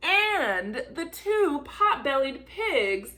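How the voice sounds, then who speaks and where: Very animated, high-pitched woman reading a storybook. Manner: theatrical, drawn-out emphasis on repeated action words. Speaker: volunteer storybook reader. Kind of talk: animated children's read-aloud storytelling.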